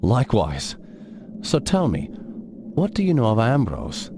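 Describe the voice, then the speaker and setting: A man playing a composed, inquisitive gentleman investigator in a video game, measured and dry, with music behind. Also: medium pitch, huge pitch swings, noisy background. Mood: curious, dry.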